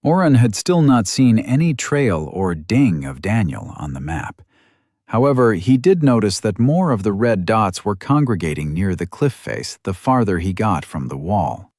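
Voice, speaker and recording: Dude reading a fantasy audiobook, lowish voice, steady, super dry sound. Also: male voice, moderate pace, no echo. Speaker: audiobook narrator. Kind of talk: scripted narration of a fantasy novel.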